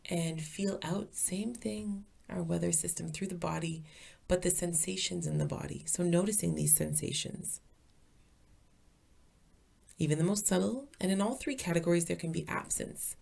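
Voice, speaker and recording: A woman leading a guided meditation as a teacher, calm, soothing and deliberate, with a soft, breathy voice. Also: fairly low pitch.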